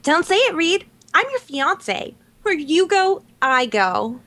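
Woman playing a very high-voiced, slow heroine. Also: very animated, sharp tone, full character voice. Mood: determined. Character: earnest, devoted young heroine, fretful and determined.

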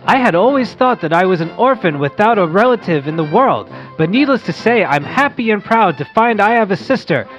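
Eager young boy radio host, voiced by a woman, animated intonation, wholesome, vintage radio play, slightly muffled recording. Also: mid-range voice, a little fast, hardly any pauses, soft background music, natural voice. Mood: eager, cheerful. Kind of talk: old-fashioned radio play reading.

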